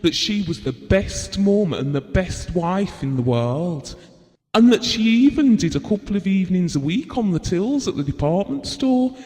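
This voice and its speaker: An actor playing a devoted, gentle husband and father, telling his memories earnestly and tenderly in an audio drama. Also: high-pitched, expressive, normal speed. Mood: proud. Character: Bill, devoted, gentle husband and father recalling a family tragedy. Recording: reverberant hall-like sound.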